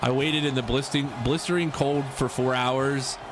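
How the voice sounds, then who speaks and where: A man's voice: mid-range voice, fairly even intonation, moderate pace, over music. A man in his thirties hosting a live stream, chatting casually with viewers and reacting to a football game he is playing, often repeating himself. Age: 30s. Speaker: livestream host. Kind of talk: casual live-stream chat over gameplay.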